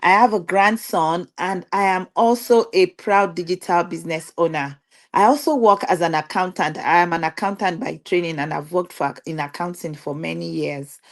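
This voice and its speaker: A woman's voice: lowish voice, normal speed. A middle-aged woman from Nigeria with a Nigerian accent gives a personal talk to an online audience, earnestly repeating phrases for emphasis. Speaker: guest speaker, digital business owner.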